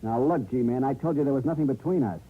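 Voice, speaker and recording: Actor as brusque fast-talking man, tinny old radio. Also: mid-range voice, breathy edge, natural voice. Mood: defensive. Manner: brusque, colloquial. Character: testy, defensive man in a small Western town. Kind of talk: 1950s radio drama acting.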